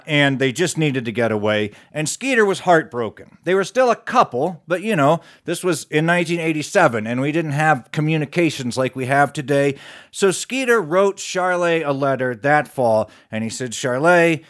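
A man's voice: a little high, lively intonation, normal speed, a bit bright. A man telling a comic tall tale live, folksy and conversational with asides. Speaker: storyteller.

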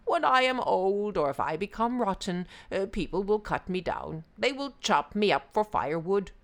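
A woman's voice: mid-range voice, animated, normal speed, voice pushed up, close to an everyday voice. Old storybook tree, done by a woman: bitter, reproachful, a world-weary talking plant. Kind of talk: oral folk tale storytelling.

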